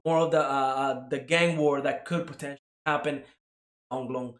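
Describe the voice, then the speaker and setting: A man's voice: mid-range voice, fairly even intonation, moderate pace, echoey room. A young man from Cuba giving a casual spoken anime review, rambling with many fillers and tag questions.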